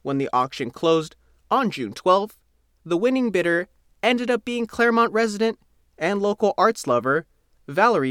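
A man's voice: high voice, a bit bright, dry recording, studio quality. A man reading a scripted local feature article as its reporter and narrator.

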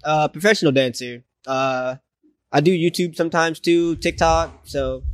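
A man's voice: fairly high pitch, moderate pace, dry recording. A nineteen-year-old man giving brief, hesitant answers in a casual street conversation. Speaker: interviewee.

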